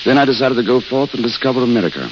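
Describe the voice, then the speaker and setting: An actor playing a weary, courteous failed writer who mocks himself wistfully, in old radio drama style. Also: male voice, medium pitch, expressive, racing, natural voice. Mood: wry, resigned. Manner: courteous, wistful, self-deprecating. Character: weary, self-mocking failed writer, a wandering intellectual. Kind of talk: 1930s-40s radio drama acting. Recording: hissy, crackly, muffled old radio recording.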